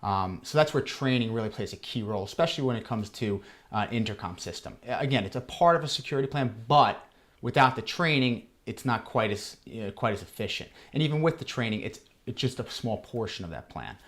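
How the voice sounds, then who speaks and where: A man answering as a security trainer in an interview, explaining things haltingly and repeating himself. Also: mid-range voice, animated, fairly bright tone.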